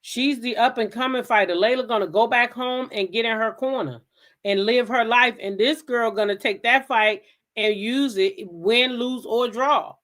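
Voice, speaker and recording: A woman's voice: a little high, normal speed, a bit bright. An American woman hosting a gossip talk show, giving blunt, emphatic commentary in a casual style and repeating phrases for effect.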